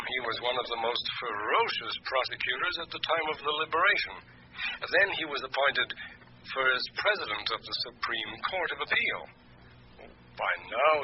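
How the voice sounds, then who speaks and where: An actor playing a worldly French lawyer in a radio drama, gossiping in a wry, hesitant, rambling way. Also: male voice, medium pitch, natural voice. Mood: wry, gossipy. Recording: muffled, noisy old radio recording.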